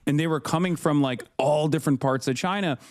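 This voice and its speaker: A man's voice: a little high, brisk, no echo, studio quality. A man in his thirties from Turkey, talking loosely in a podcast conversation with many fillers and restarts. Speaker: political commentator, guest.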